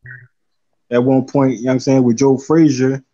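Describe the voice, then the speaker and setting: A man's voice: little pitch movement, quick pace, warm tone. A man from Philadelphia, a guest on a live talk stream, rambling casually and often saying "you know what I'm saying". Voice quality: says he has a deep voice. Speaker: guest caller. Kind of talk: casual chat. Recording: dull lo-fi stream audio.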